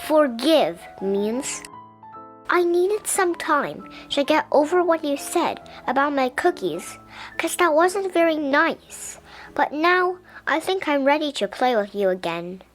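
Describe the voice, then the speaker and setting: A woman reading a children's picture book aloud in a gentle, deliberate, expressive way. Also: sits high, faint music behind. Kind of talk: children's storybook read-aloud.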